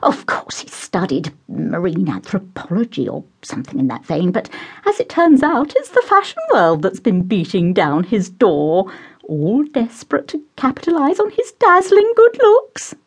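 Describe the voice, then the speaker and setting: A woman voicing a meddling, gushing upper-class mother who squeals and beams in a high, shrill voice. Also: huge pitch swings, moderate pace, husky, exaggerated voice.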